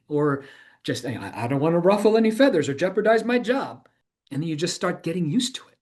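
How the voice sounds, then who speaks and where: A man performing a dramatized monologue as an earnest, reflective middle-aged former tech entrepreneur, halting and searching as he speaks. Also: on the high side, darkish tone. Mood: uneasy, candid. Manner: halting, searching, self-correcting delivery with emphatic swells. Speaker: stage performer of a true-story monologue. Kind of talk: dramatized monologue in conversational interview manner.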